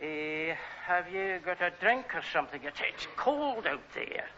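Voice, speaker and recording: An actor playing a blunt, whisky-loving vet with a Scottish accent in a British sitcom. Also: male voice, high-pitched, lively intonation, measured pace, pushed and bright, lo-fi sound, heavily acted. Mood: dry, eager.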